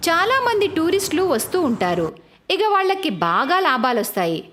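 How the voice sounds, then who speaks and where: A woman's voice: extremely high pitch, sing-song, normal speed, fairly bright tone, a little hiss or hum. A woman reading scripted narration for a moral story as its narrator.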